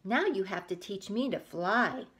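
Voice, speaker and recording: A woman's voice: mid-range voice, lively intonation, unhurried, fairly bright tone. A woman voicing a big, friendly, earnest bear from a children's storybook. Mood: fond.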